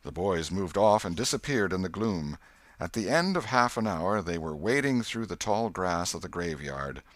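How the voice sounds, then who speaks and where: Deep-voiced man narrating an audiobook. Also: animated, normal speed, no room sound. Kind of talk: scripted literary narration.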